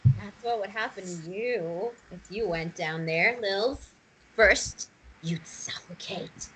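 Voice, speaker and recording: Woman acting slow, spooky teenage girl, noisy recording. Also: medium pitch, fairly bright tone. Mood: eerie, morbid. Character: teenage girl Betty, morbid, spooky storyteller. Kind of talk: ghost story in a table read. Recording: noticeable background noise.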